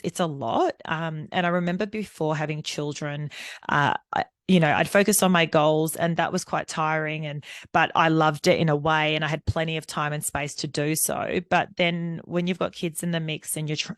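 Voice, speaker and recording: A woman's voice: deep voice, dry recording, clean studio sound. A woman, hosting a solo podcast in a candid, rambling way with lots of fillers. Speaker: podcast host. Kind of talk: unscripted solo podcast monologue.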